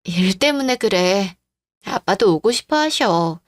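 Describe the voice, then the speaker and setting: A woman's voice: mid-range voice, lively intonation, unhurried, no room sound, studio quality. A woman playing a devoted, patient middle-aged daughter-in-law and mother in a radio-drama style story. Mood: pleading, reassuring.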